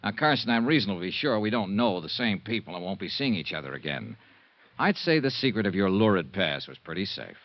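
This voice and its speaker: An actor playing a wry, hard-boiled insurance investigator in a 1950s radio drama, sardonic and dry. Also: male voice, low voice, big pitch swings, fairly quick. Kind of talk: 1950s radio drama acting and first-person narration. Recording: muffled 1950s radio recording.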